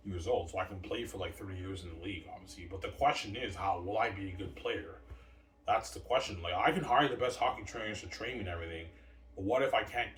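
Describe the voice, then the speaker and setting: A man's voice: lowish voice, recorded from a distance. A man talking to himself in a rambling solo monologue, repeating words and filling gaps with "like" and "man". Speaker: solo vlogger. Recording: echoey room, voice off-mic with faint background noise.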